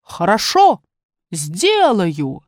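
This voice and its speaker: A woman's voice: on the high side, very animated, normal speed, no room sound, studio quality. A woman voicing a big, commanding, abrupt male god in a storybook reading. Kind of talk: storybook character voice.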